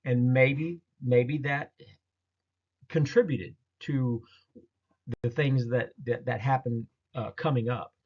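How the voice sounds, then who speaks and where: A man talking casually through a slideshow of his own experiences, in a halting, rambling, folksy way. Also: medium pitch, fairly even intonation. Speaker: presenter recounting a field experience. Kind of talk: casual narrated slideshow storytelling. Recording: dull lo-fi audio.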